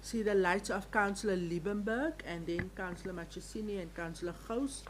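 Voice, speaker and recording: A woman's voice: mid-range voice, a little fast, a little hiss or hum. A woman runs a council meeting in formal, courteous tones, calling on members by title. Faint chamber ambience sits behind her. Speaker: council speaker chairing the meeting.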